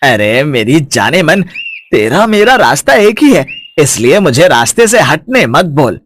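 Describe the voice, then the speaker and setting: An actor playing a shameless, lecherous young village villain in a storybook audio drama, with a leering, taunting way of talking. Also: male voice, a little high, sing-song, measured pace, a bit bright, heavily acted. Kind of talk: acted audio story dialogue.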